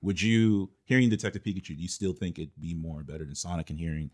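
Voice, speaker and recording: A man's voice: low voice, quick pace, a little mellow. A man hosting a casual podcast discussion about films, speaking in a conversational way. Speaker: podcast host.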